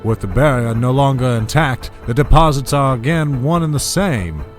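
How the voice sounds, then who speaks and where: A man's voice: medium pitch, lively intonation, moderate pace, faint music behind, close to an everyday voice. Smug, swooping villainous oil baron played by a man. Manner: silky, condescending, mock-courteous. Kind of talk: audio drama acting.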